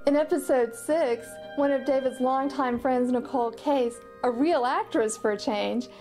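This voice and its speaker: A woman's voice: sits high, moderate pace, darkish tone, faint music behind. A woman reminiscing in a documentary interview as a studio collaborator.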